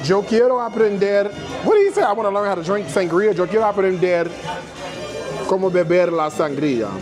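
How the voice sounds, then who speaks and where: A man hosting a food vlog, chatting playfully and competitively while mixing English with halting Spanish. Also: high-pitched, lively intonation. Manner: joking, competitive, switching between English and broken Spanish. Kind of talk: playful casual chat. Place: busy restaurant. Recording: echoey room with crowd chatter and music.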